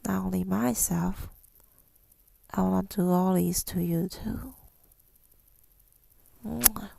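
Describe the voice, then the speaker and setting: Woman, tender ASMR whisper, very creaky, soft dark tone, breathy, hesitant, affirming, close mic. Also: mid-range voice, takes it slowly, no room sound. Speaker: ASMR creator speaking to listeners. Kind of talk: intimate ASMR monologue, mostly whispered.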